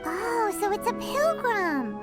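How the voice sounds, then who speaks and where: A woman voicing a tiny, childlike female companion in an anime game, squeaky and perky, cheeky and encouraging. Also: big pitch swings, slow, over loud music, heavily acted. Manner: perky, exclamatory.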